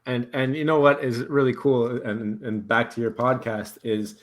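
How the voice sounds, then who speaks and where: A man hosting a casual video call chat, relaxed and rambling, laughing easily. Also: medium pitch, normal speed. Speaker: host.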